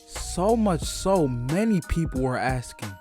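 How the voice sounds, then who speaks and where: Slangy male sports recap host, slightly high voice. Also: very animated, unhurried, music in the background. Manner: slangy, profane, mock-dramatic. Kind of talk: scripted comedic sports commentary.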